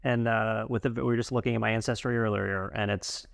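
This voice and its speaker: A man's voice: lowish voice, steady tone, brisk, vocal fry, no room sound, clean studio sound. An American man chatting casually as a podcast co-host, in a rambling conversational way. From United States.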